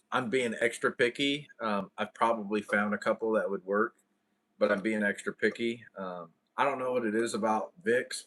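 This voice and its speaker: A man's voice: lowish voice, a bit bright. A man hosting a casual livestream, chatting with viewers in a laid-back, slangy way. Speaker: livestream host.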